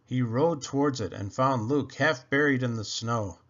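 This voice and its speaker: A man's voice: mid-range voice, moderate pace. Man reading a storybook, dull lo-fi sound. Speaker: storybook reader. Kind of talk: scripted read-aloud storytelling.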